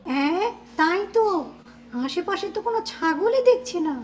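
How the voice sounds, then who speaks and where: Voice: extremely high pitch, lively intonation, normal speed. A performer playing a kind, supportive young cartoon boy, polite and soothing. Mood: concerned, soothing. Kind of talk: cartoon voice acting.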